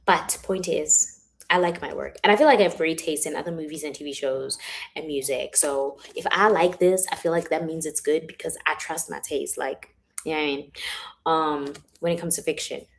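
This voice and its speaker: Chatty young woman, voice going hoarse. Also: steady tone, normal speed, a little hiss or hum. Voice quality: speaking lower than her usual bright voice. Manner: chatty, rambling, giggly, uses filler words a lot. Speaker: author hosting a livestream. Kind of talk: casual livestream chat. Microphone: phone or webcam in a livestream.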